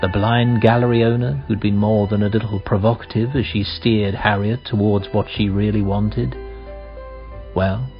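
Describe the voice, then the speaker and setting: A man narrating a radio play as a measured, reflective storyteller. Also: on the low side, muffled recording.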